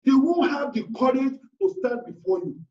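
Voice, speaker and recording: A man's voice: very high voice, big pitch swings, normal speed, a little mellow. A man preaching as a pastor, giving emphatic, repetitive prayer declarations over a video call. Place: indoors, online video call. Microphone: video call device mic. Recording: roomy, distant, muffled video call.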